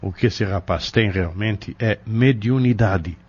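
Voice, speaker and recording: A Brazilian man playing a calm, reserved pharmacist in an audio drama, polite and measured with gentle authority. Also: fairly low pitch, slightly rough. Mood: confidential, hesitant. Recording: dull lo-fi audio.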